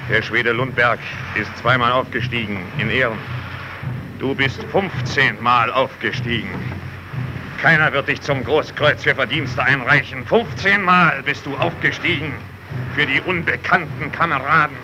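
Actor as slow, weary, grave sea captain. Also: male voice, mid-range voice, steady tone, natural voice. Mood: solemn, rousing. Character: weary, grave male Soviet icebreaker captain. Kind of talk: vintage German radio drama acting. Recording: noisy old radio play recording.

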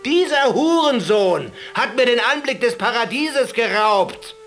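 A man puts on a brazen, mocking shepherd who hurls insults without fear. The voice jumps high and loud, bright and cutting. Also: expressive, a little slow, faint music behind, heavily acted. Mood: defiant insolence. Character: defiant insolent male shepherd.

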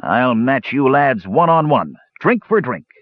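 A man voicing a boastful, jovial, hard-drinking bearded dwarf in a fantasy audiobook, with loud roaring speech. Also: mid-range voice, very animated, measured pace, no room sound, phone-quality sound, pitched above the performer's own voice. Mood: confident.

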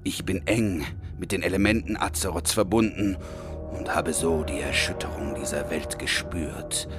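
A man's voice: sits low, steady tone, normal speed, over music, close to an everyday voice. A man playing a mighty orc shaman in a parody audio drama, solemn, pompous and aggrieved. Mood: solemn, proud.

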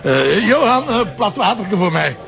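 A wry Flemish police commissioner. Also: male voice, sits high, very animated, unhurried, gravelly, exaggerated voice. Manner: wry, blunt. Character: gruff beer-loving male police commissioner, middle-aged. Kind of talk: radio drama acting. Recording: muffled old radio play recording.

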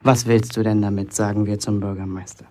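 An actor playing a boastful, self-important teenage apprentice bricklayer from a village, chatting colloquially in a radio play monologue. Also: male voice, lowish voice, little pitch movement, fairly quick, darkish tone, close to an everyday voice. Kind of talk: German radio play acting, first-person monologue.

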